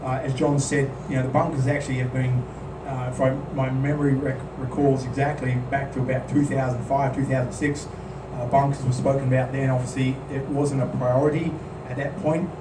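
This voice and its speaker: A man's voice: mid-range voice, fairly quick, few pauses. A man presenting a project at a club meeting, speaking plainly and steadily with many "um"s. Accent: non-local accent. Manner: plain, steady, filler-heavy delivery. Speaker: maintenance contractor presenting. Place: meeting room at a golf club. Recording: roomy, distant mic, background hum.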